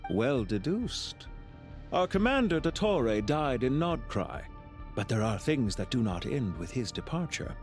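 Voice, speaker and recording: A man playing a calm, courteous, scheming villain officer in a video game, speaking in a polite, formal, measured and theatrical way. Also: mid-range voice, faint music behind. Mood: courteous, probing. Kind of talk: scripted video game voice acting.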